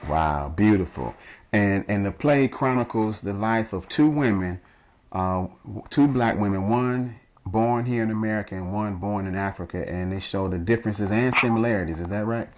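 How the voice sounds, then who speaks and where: A man hosting a casual interview, relaxed and chatty, who chuckles at his own jokes. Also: fairly low pitch, normal speed. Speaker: radio show host.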